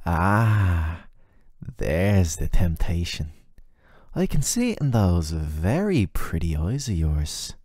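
A man's voice: sits low, very animated, slow pace, darkish tone, breathy edge, no room sound, clean studio sound, full character voice. A man voicing a flirty, sardonic, ageless male demon who teases the listener in casual, slangy, intimate roleplay audio. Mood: seductive, tempting.

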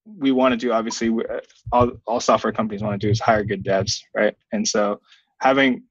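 A man in his thirties answering interview questions conversationally as a guest, in a measured, hedging way. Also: on the low side, steady tone, husky, no echo, highs cut off. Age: 30s. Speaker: software company founder, interview guest. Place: small plain indoor room. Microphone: webcam video call, wearing headphones.

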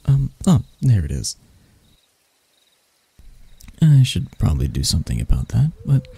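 A man voicing a deranged, seductive spider vampire in an intimate ASMR roleplay, soft and breathy, teasing and theatrical, doting yet menacing. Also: on the low side, heavily acted. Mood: amused, whimsical. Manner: slow, teasing, theatrical, with dark chuckles.

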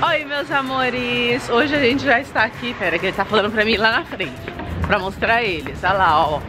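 A woman's voice: fairly high pitch, normal speed. A woman in her thirties chatting to viewers in a casual travel vlog, laughing often, filmed on a phone held at arm's length outdoors. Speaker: vlogger. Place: outdoors on a busy city street. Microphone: handheld phone at arm's length. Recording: street crowd and music behind.

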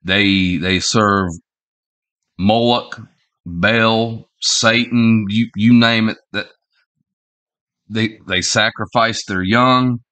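A man in his forties with a head cold that makes him sound nasal, talking in a halting, rambling way with many fillers and restarts. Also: fairly low pitch, steady tone, pushed and bright. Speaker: online commentator. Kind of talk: casual opinion vlog. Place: small indoor room at home.

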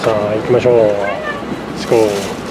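A man's voice: fairly low pitch, little pitch movement, takes it slowly. Chatty man vlogging on noisy streets. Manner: chatty, thinking aloud with many fillers. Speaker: travel vlogger. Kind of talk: casual travel vlog narration. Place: outdoors on city streets and in a cafe. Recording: street noise behind, camera mic.

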